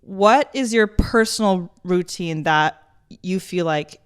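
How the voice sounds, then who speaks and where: A woman interviewing a guest in casual conversation, chatty and animated as she tells stories. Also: lowish voice, slow pace, smooth. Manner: chatty, animated storytelling, laughs easily.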